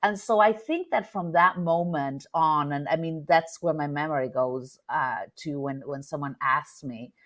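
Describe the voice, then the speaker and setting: Woman guest, low voice, candid, restarts a lot, video call audio. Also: unhurried, few pauses. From Argentina. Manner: candid, with many fillers and restarts. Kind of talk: casual storytelling interview.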